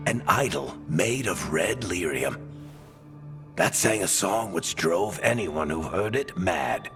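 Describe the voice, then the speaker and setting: An actor playing a weathered middle-aged storyteller companion in a video game, speaking with dramatic, grave weight. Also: male voice, low-pitched, expressive, slow, exaggerated voice. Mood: urgent. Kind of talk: video game dialogue and narration. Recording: dramatic music behind. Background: music.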